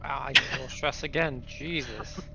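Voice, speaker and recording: A man's voice: medium pitch, fairly quick, creaky, bright, forceful tone, slight background noise. A man chatting casually as a player in a tabletop roleplaying game. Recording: dull lo-fi mic, faint music behind.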